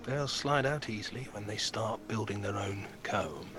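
A man's voice: lowish voice, moderate pace, close to an everyday voice. A man playing a disapproving, pompous beekeeper in an audio drama, with a dry, sardonic manner. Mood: businesslike.

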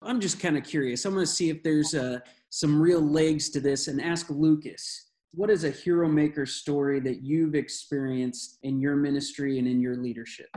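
A man's voice: fairly high pitch, fairly even intonation, normal speed, hardly any pauses, dark tone. A man hosting an interview, asking long, meandering questions. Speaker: host.

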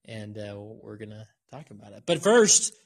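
A man's voice: fairly low pitch, big pitch swings, moderate pace. Joking man hosting a classroom podcast. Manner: joking, laughs often. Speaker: podcast host and college instructor. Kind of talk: casual classroom podcast chat. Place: classroom on a college campus.

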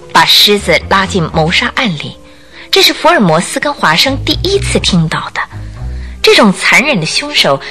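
A woman narrating a radio detective drama in a dramatic, expressive storytelling style from a script. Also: mid-range voice. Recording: music under the voice.

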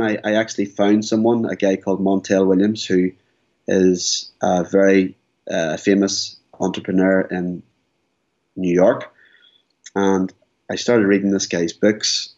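A man's voice: lowish voice, darkish tone. A man in his forties, a guest in an interview, speaking earnestly and often repeating words as he goes. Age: 40s. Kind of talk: candid interview. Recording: dull lo-fi sound.

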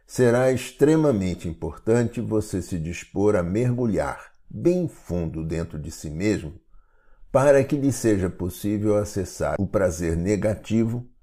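A Brazilian man narrating a scripted guided meditation in a measured, formal, instructive way. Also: lowish voice, lively intonation, warm tone.

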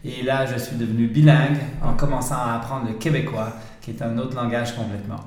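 A man's voice: medium pitch, little pitch movement, fairly quick, a little mellow. A man in his forties with a Quebec French accent touched by Swiss, explaining things casually as an interview guest. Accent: Quebec French mixed with some Swiss. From Switzerland and Quebec, Canada. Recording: very echoey, reverberant room.

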